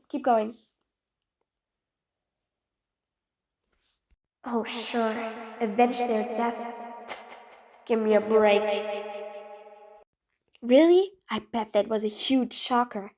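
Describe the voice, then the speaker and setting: A woman's voice: fairly high pitch, darkish tone. A woman playing a sly, impatient supervillain in a scripted audio roleplay, speaking in a theatrical, mocking way with formal, wordy phrasing. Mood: bitter, sarcastic.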